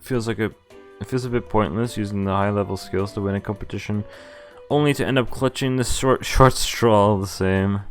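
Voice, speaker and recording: A woman's voice: rumbling low, steady tone, fairly quick. A woman streamer reading visual novel text aloud expressively, dropping in casual, sweary asides of her own. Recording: game music behind the voice. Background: visual novel background music.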